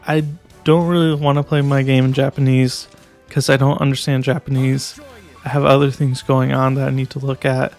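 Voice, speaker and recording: A young man with a gravelly, creaky voice talks through a casual game review in a flat, level tone. He hesitates and restarts his sentences often, and faint music plays beneath him. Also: mid-range voice, moderate pace. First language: English.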